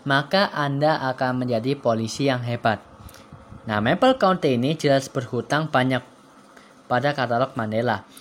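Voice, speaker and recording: A man with an Indonesian accent hosting a video in an informal commentary style. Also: mid-range voice. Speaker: host of a horror recommendation channel. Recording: clear background noise and ambience.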